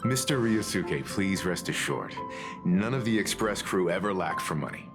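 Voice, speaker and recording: A calm, formal gentleman with a low, deep voice. He speaks in a reassuring tone with some lift and fall in his pitch. Game music plays behind him. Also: moderate pace. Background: game soundtrack music.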